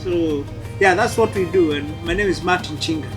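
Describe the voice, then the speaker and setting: A high-voiced man introduces himself at a community gathering. He speaks in a chatty, explanatory way. Loud music plays in the background. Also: normal speed. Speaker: community advocate. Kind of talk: self-introduction at an event.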